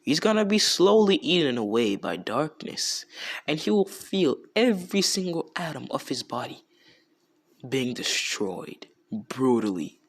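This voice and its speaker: Guy doing a cocky young ninja hero, pitched up high, slow and menacing, fan story retelling. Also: big pitch swings.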